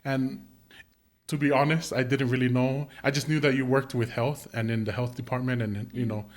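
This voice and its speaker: Halting, easygoing male podcast host. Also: medium pitch, steady tone. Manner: rambling, halting questions with many fillers. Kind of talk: casual interview chat.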